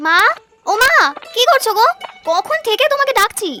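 A woman's voice: very high voice, animated, normal speed, music in the background. A woman voicing a hardworking, cheerful young village wife in a cartoon, eager and affectionate. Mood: eager, respectful. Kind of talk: cartoon dubbing.

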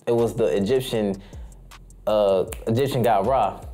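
A man's voice: on the low side, fairly even intonation, unhurried, warm tone, faint music behind. A young man chatting casually in a haul video, hyped and slangy, repeating his phrases. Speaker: streetwear haul vlogger. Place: small indoor room full of anime posters.

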